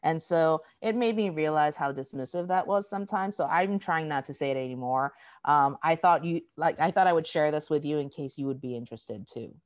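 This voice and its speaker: An American woman speaking in an interview, explaining things in an animated, storytelling way and acting out quoted dialogue. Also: low voice, fairly quick, hardly any pauses, no room sound. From United States. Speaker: diversity educator. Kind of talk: conversational interview.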